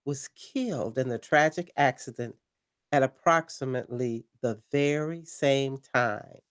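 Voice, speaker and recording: A woman playing a slow, dramatic late-night radio host. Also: extremely low pitch. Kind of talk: audio drama acting.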